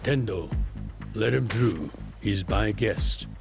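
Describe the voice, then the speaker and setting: A deep-set, creaky male voice as a commanding crime boss in a video game drama. He is composed, measured and authoritative. Music plays behind him. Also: animated, full character voice. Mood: calm authority.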